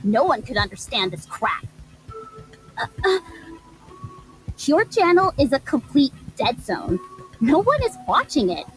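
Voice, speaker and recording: Brash, high-pitched, mocking young hustler. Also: female voice, sing-song, measured pace, long pauses, faint music behind, poor audio. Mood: scornful. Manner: blunt, sarcastic, exaggerated delivery. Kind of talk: video game cutscene voice acting.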